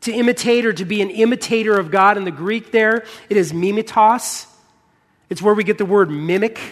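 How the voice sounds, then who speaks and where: A man's voice: high-pitched, brisk. An American man with a touch of Midwestern accent preaches a sermon in a church auditorium, earnest and leaning on repetition for emphasis. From United States, born in Michigan. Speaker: pastor preaching. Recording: long hall reverb.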